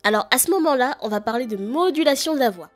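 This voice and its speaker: Woman, jokey, lively, high voice. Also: quick pace, soft background music. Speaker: fandub voice actress. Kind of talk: casual recorded answer.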